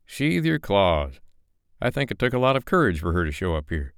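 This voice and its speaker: A man's voice: on the low side, sing-song, moderate pace, clean tone, dry recording, clean studio sound, pitched above the performer's own voice. A man voicing a fair-minded, protective male character in an audiobook, earnest and reproving.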